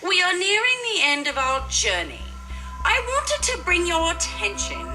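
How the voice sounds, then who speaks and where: A woman's voice: extremely high pitch, wildly swooping pitch, moderate pace, sharp tone, soft background music. A woman presenting a playful mock documentary in a theatrical, exclaiming manner. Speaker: presenter of a student educational newsreel.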